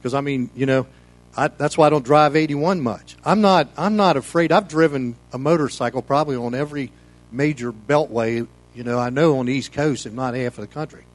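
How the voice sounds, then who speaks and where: Male pastor, American, mature. Informal, conversational preaching, folksy and meandering, medium pitch. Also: brisk. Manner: folksy, rambling, peppered with "you know". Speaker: pastor leading a Bible study. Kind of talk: informal sermon, conversational teaching.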